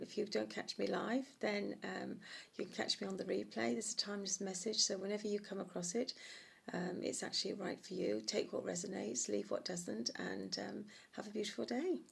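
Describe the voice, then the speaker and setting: Woman, middle-aged, oracle reader, croaky and husky, low, rambling livestream delivery. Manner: soft, meandering, many ums. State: hoarse. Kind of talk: livestream card reading.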